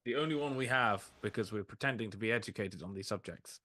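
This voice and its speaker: A man's voice: lowish voice, fast, bright, forceful tone, dry recording. A man casually discussing films as a podcast co-host.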